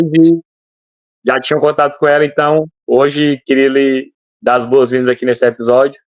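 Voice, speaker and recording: A man's voice: mid-range voice, no room sound. Man asking winding questions, muffled. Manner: long winding questions with repetitions. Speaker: podcast co-host. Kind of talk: conversational interviewing.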